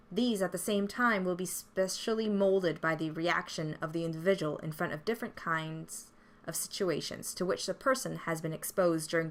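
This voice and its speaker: A woman reading a scripted scientific study in a measured, formal manner. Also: lowish voice.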